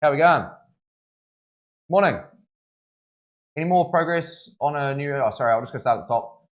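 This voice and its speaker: A young man, chatting casually on a live stream while tattooing, slangy and relaxed with many fillers. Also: a little high, normal speed. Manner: laid-back, slangy, lots of "bro" and fillers.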